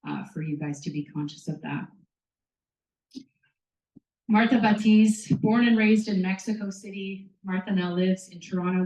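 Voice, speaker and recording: A woman's voice: fairly low pitch, warm tone. A woman hosting a reading series, giving a casual welcome and reading out a guest's introduction. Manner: casual, reads from notes. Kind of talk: event introduction. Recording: distant mic in echoey room.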